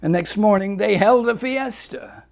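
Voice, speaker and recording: A man's voice: sits high, measured pace, gravelly. A man reading a rhyming fable aloud in a lilting, rhythmic delivery. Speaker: storyteller.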